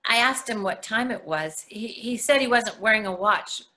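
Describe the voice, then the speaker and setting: A woman's voice: fairly high pitch, animated, moderate pace, pushed and bright. A woman acting a shy, candid twelve-year-old girl in a play reading, earnest and hesitant in her talk.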